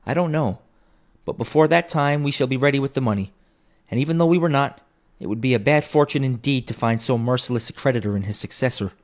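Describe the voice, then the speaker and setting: Man acting an earnest, higher-voiced debtor husband. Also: fast talker, natural voice. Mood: relieved. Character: relieved debtor husband comforting his wife.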